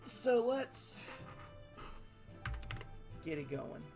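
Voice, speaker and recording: Hoarse gravelly male streamer. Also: very high voice, very animated, extremely slow, music in the background. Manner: rambling, self-deprecating. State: hoarse, losing his voice. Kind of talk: casual stream sign-off.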